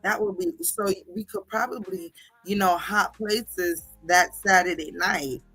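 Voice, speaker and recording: A middle-aged woman, chatting casually and telling stories as a livestream host, sassy and slangy with drawn-out emphasis. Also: mid-range voice, lively intonation, vocal fry, bright, forceful tone, breathy edge, dry recording, soft background music, poor audio. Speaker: livestream host reading viewer comments.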